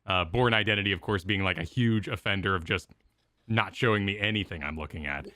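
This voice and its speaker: Bright, low-pitched man debating on a stream. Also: a little fast, no echo, studio quality. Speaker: co-host of a gaming reaction stream. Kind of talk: casual opinionated discussion.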